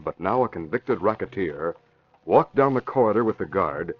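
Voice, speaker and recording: An American man announcing and narrating a 1940s radio show in a dramatic, emphatic, declamatory scripted style. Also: lowish voice. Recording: muffled old radio broadcast recording.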